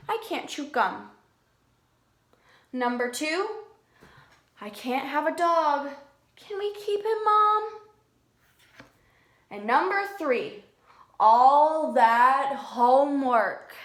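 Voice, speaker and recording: A high, childlike little worm boy, voiced by a woman tells his diary in simple storybook sentences. The delivery is slow and the room rings with echo. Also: a bit bright, full character voice. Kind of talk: children's picture-book read-aloud. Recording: echoey room.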